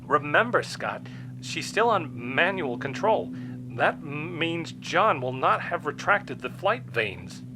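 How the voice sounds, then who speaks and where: A man's voice: fairly high pitch, very animated, slow, music in the background, pitched above the performer's own voice, low-quality recording. A man voicing an anxious, stuttering rocket scientist in an audio story. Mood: urgent. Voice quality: stammering. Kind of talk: audio story character acting.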